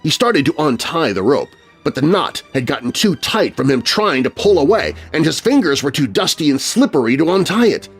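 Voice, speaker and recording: A male storyteller delivers spooky tales for children with theatrical suspense. His pitch swings expressively from line to line, and music plays behind him. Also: very animated, normal speed, few pauses, husky. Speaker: narrator. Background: music bed.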